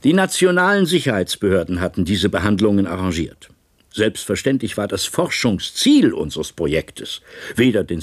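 A man's voice: lowish voice, husky, dry recording. An actor playing an authoritative male project official, formally laying out evidence at a hearing in a radio drama.

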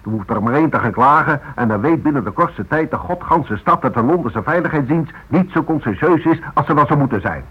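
An actor playing a domineering, sarcastic boss of a shady firm in a Dutch radio play, lecturing and bullying. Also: male voice, a little high, expressive, a little fast, hardly any pauses, slightly rough. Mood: angry, bossy. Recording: dull lo-fi radio recording.

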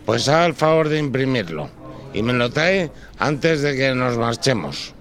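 A man's voice: mid-range voice, animated, a little slow, a bit bright. A man acting a busy, formal boss in an audio drama. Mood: brisk, formal. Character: Don Severino, busy, formal male boss. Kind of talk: Spanish audio fiction acting.

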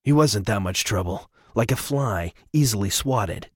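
A man voicing a brutish, menacing henchman in a low, sneering manner. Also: lively intonation, a little slow, slightly rough, no echo, studio quality.

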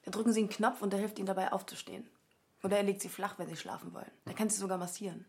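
Woman acting young helpful lady, talks fast, keeps gently suggesting stuff, radio play. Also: mid-range voice, steady tone, lightly acted. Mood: helpful, curious. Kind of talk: naturalistic German radio drama.

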